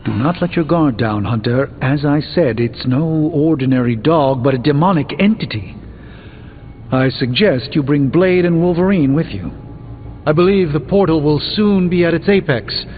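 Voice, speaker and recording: A learned middle-aged sorcerer played by a man speaks in composed, formal phrases for a video game. His voice sits a little high. It rings in a long hall reverb, thinly band-limited, with faint music behind. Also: phone-quality sound, natural voice. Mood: grave, instructive. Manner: precise, formal, measured diction. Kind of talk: video game character dialogue.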